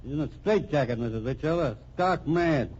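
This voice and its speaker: An actor playing a plain-spoken, puzzled police captain in a vintage radio mystery drama. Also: male voice, a little high, lively intonation, fairly quick, darkish tone. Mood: puzzled, folksy. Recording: dull, noisy old radio recording.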